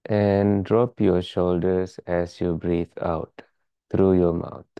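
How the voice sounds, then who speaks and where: A meditation teacher leading a guided relaxation in calm, measured, soothing instructions. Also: low-pitched, clear, resonant tone, no echo, highs cut off.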